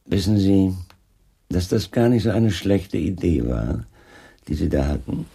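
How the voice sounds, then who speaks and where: Deep, husky, wry police inspector. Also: male voice, animated, unhurried, mellow, exaggerated voice. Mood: wry, flirtatious. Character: wry, unflappable male Scotland Yard chief inspector. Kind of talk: German crime radio play acting.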